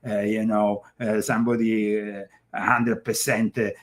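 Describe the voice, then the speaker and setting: A man from Italy with an Italian accent, hosting a political commentary show, speaking emphatically but halting often with fillers and restarts. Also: fairly low pitch, level tone. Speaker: show host.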